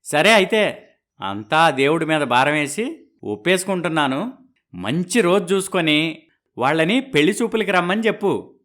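Casting: man for worried bride's father, middle-aged, hesitant, lively intonation, moral-story dialogue. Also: a little high, normal speed, pauses often. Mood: anxious.

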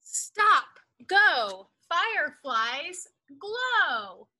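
A woman's voice: very high-pitched, very animated, extremely slow, sharp tone. A young woman reads a picture book aloud to small children outdoors, sing-song and carefully enunciated, with emphasis on the rhyming words. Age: young adult. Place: outdoors in a leafy backyard.

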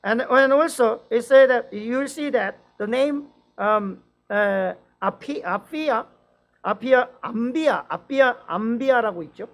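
Halting man preaching in two languages. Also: extremely high pitch, vocal fry. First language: Korean. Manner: slow, halting English with many restarts and fillers, quick fluent Korean. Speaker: pastor. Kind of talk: bilingual dawn-service sermon. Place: church sanctuary, indoors.

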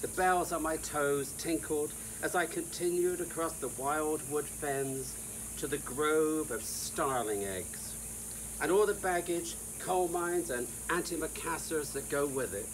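A man in his sixties or older reads a whimsical storybook aloud in a theatrical, deliberate manner. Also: a little high, rough recording. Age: 60s or older. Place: outdoors in a sunny garden. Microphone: camera a few feet away. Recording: outdoor hiss with insect noise.

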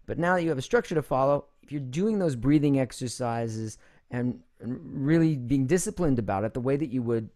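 A man's voice: mid-range voice, expressive, a little fast, mellow. A middle-aged man coaching in a conversational way as host, speaking close to a studio mic. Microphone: studio condenser mic up close.

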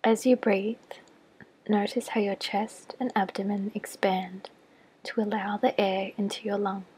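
A woman leads a guided body scan meditation in a soft, breathy near-whisper, giving gentle, measured instructions with long pauses. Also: mid-range voice, level tone, rough recording. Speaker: clinical psychologist leading a guided meditation.

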